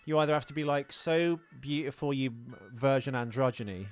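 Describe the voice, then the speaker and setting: A man's voice: medium pitch, fairly quick, clean tone. A man with a mid-range voice chats casually as a podcast co-host. He rambles along and readily puts himself down. Music plays behind his talk. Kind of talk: casual film podcast chat. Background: music playing behind the talk.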